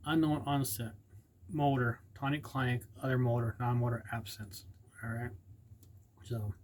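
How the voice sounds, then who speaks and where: A man's voice: mid-range voice, fairly even intonation, normal speed, darkish tone. A man casually vlogging, partly reading aloud, rambling and unsure with many fillers and self-corrections.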